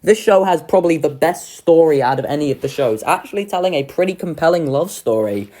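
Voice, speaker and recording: Young adult reviewer, casual, sweary, enthusiastic, very animated intonation. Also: mid-range voice, a little fast. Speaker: video creator ranking children's pantomimes. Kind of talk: casual review ranking commentary.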